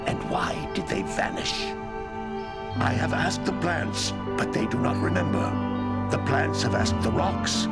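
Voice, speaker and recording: An actor playing a proud, weary old sage narrating a fantasy game cutscene in a theatrical, ponderous way. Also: male voice, medium pitch, gravelly, exaggerated voice. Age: older. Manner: theatrical, grandiose, ponderous questioning. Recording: loud music under the voice.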